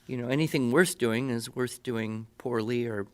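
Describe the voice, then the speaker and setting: An older man hosting a podcast conversation, speaking reflectively with halting phrasing. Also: medium pitch. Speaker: podcast host. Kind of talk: conversational interview.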